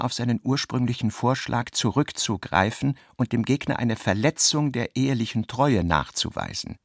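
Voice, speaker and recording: Creaky-voiced male literary narrator, close and dry. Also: medium pitch, no room sound. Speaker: narrator of a radio drama. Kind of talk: literary scripted narration, voicing reported dialogue.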